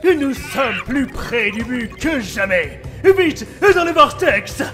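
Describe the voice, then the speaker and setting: A man's voice: very high voice, sing-song, takes it slowly, music in the background, full character voice. A man voicing a short-tempered, megalomaniac mad scientist villain in a French game fandub, theatrical and commanding. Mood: triumphant.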